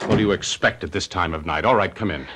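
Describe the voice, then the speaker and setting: An actor playing a guilty, irritable husband in a radio mystery drama, testy and prone to stammering under pressure. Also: male voice, low-pitched, fast, fairly bright tone, breathy edge. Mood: irritable, guarded. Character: guilty husband feigning grief, curt, irritable, evasive.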